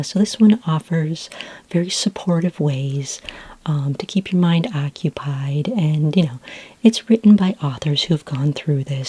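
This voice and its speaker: Female ASMR performer as a friendly librarian: low-pitched, breathy near-whisper, gentle and patient, conversational delivery. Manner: gentle, unhurried, helpful, conversational. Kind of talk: soft-spoken ASMR roleplay. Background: computer keyboard typing.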